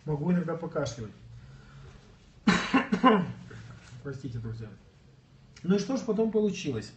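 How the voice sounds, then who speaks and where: Man, bodybuilding coach, a bit sick with a cough, earnest storyteller, higher voice, roomy and distant. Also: big pitch swings, normal speed. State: slightly ill, coughing. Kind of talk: casual livestream chat. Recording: echoey room, far from mic, dull.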